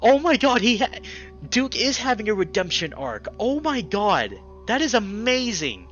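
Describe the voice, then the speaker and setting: Very animated young man reading a game aloud. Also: sits high, a little slow. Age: young adult. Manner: excitable, emphatic reactions. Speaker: gaming video host reading a visual novel aloud. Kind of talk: expressive narration with excited commentary. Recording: slightly dull audio, music behind.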